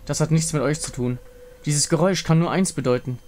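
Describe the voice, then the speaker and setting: A man voicing a solemn, fatalistic warrior woman of the moon in a game story, grave, formal and measured. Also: on the high side, darkish tone, a little hiss or hum, close to an everyday voice. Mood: ominous. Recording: music behind the voice.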